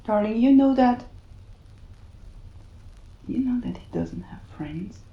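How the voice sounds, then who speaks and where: A creaky-voiced, wounded wife and mother acted by a woman. She pleads protectively, in a 1930s-set audio drama recorded with roomy echo and some background ambience. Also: a little high, expressive, slow, lo-fi sound, a little hiss or hum. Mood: anxious pleading. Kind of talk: cinematic audio drama acting.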